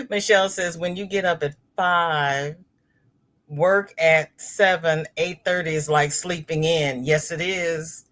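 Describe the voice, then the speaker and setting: A woman's voice: deep voice, fairly bright tone. A middle-aged woman hosting a casual crochet livestream, reading viewer comments aloud and greeting people by name.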